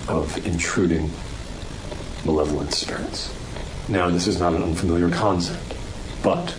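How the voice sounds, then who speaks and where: An actor playing a grave man lecturing deliberately and didactically in short phrases in a horror audio drama, over background noise. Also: low-pitched. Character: grave man explaining malevolent spirits like a lecturer.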